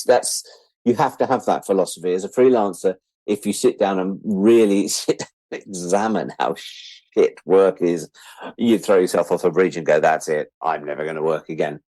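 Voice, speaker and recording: Deep-voiced British man around sixty. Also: moderate pace, darkish tone, dry recording, rough recording. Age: about 60. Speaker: interview guest, freelance media producer. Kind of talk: casual reflective interview chat.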